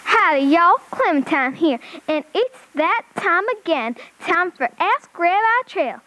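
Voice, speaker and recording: Very high, folksy puppet lady. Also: animated, measured pace, pushed and bright, exaggerated voice, rough recording. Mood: cheery. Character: folksy, cheery female puppet named Clementine. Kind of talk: children's puppet show presenting.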